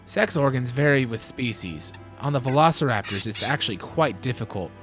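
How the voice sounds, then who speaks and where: A man's voice: mid-range voice, lively intonation, fairly quick, a bit bright, close to an everyday voice. A man playing a proud, self-assured geneticist explaining his lab to visitors in a fan audio drama. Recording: music under the voice.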